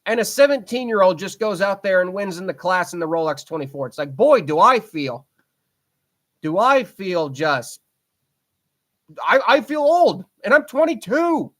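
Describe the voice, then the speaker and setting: A man in his twenties hosts a casual podcast by himself. His voice sits a little on the high side. He rambles with plenty of ums and restarts, and he talks with a fan's eager enthusiasm. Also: sing-song, normal speed, fairly bright tone. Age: 20s. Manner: rambling, frequent fillers and restarts; enthusiastic fan talk. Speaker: podcast host. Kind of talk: casual solo podcast chat.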